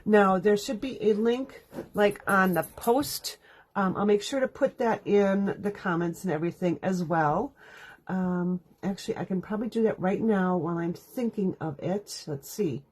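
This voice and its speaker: A woman's voice: mid-range voice, normal speed, darkish tone. A congested woman with a nasal sound, chatting casually and rambling while teaching a card-making class live from home. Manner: chatty, rambling, laughs often. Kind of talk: casual livestream chat.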